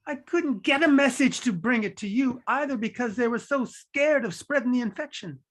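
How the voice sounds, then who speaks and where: A young male messenger, played by an actor, explains himself apologetically in streetwise slang. The pitch is middling, in a stage drama read over a video call. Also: normal speed, natural voice. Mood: apologetic, urgent. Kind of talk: table read of a stage play, urban slang.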